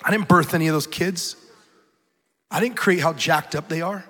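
Thirties male preacher, forceful and urgent, ringing hall reverb. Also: on the high side, normal speed. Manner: urgent, emphatic, rhetorical repetition. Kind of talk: passionate sermon. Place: large church hall.

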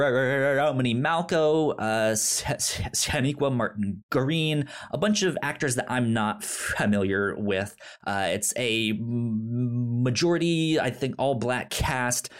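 Podcast co-host, male, slow and halting, mid-pitched, stumbles and restarts often. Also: clean tone. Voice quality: halting, many stammered restarts and fillers. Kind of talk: casual chat.

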